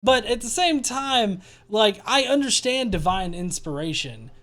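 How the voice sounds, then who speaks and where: A man's voice: high-pitched, lively intonation, unhurried, pushed and bright. A man hosting a casual commentary stream, talking in a sarcastic, profane, rambling way. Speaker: host.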